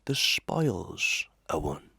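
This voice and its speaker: Old schoolmaster, pompous, blustering, slow and drawn-out, sharp bright tone, melodrama audio acting. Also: male voice, deep voice, big pitch swings, gravelly, husky, full character voice. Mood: sententious. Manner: theatrical, hemming, drawn-out, full of stock sayings. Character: pompous, cunning old village schoolmaster, proverb-quoting and blustering.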